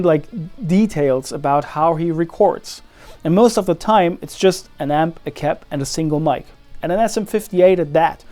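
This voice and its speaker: A young man hosting his own channel, talking casually and explaining guitar recording gear in a relaxed, friendly way, with light self-deprecating asides. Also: a little high.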